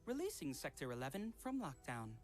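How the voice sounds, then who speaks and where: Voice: medium pitch, big pitch swings, fairly quick, music in the background, natural voice. A performer voicing a cold, formal announcer system in a killing-game video game.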